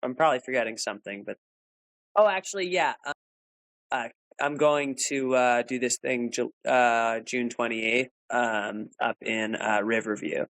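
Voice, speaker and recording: A man's voice: medium pitch, unhurried, a bit bright, dry recording, low-quality recording. A male stand-up comedian and podcast host, plugging his gigs in a laid-back way full of ums and uhs. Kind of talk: casual show plugs.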